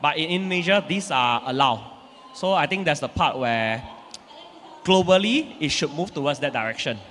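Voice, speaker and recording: A man's voice: fairly high pitch, lively intonation, moderate pace, a bit bright. A man from Singapore, explaining points as a panelist in a live panel discussion. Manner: explanatory, adds points from a global view. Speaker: company executive, panelist. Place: conference stage at a live event. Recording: reverberant live conference hall.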